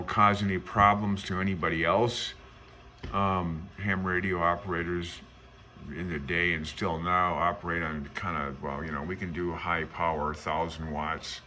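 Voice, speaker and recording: A man's voice: sits low, pushed and bright, slight background noise. A man giving casual, rambling commentary on a book as a hobbyist host, in a chatty, amused way that wanders off on tangents. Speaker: amateur radio hobbyist host.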